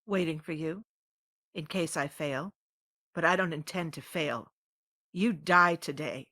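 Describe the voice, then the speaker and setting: Actress as sharp, defiant female squad leader. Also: low-pitched, a little slow, dry recording, clean studio sound, heavily acted. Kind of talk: dramatic audio drama acting.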